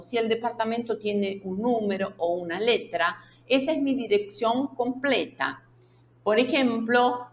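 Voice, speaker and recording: A woman's voice: a little high, a bit bright. A woman teaching a language lesson in a didactic way, laying out example sentences and checking in with short questions. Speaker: Spanish teacher. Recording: echoey room sound.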